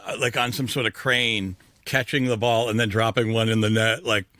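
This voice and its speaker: A man chats casually on a podcast panel in a fairly low but bright, punchy voice. His phrasing halts and restarts. The sound is dry, with no room around it. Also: steady tone, normal speed, dry recording. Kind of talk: casual chat.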